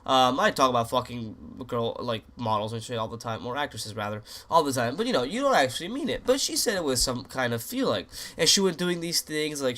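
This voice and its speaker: A man's voice: fairly high pitch, sharp tone. A young man who is a little sick, rambling in crude, joking gaming commentary with many restarts. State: sick, voice sounds off. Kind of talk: casual rambling commentary over gameplay.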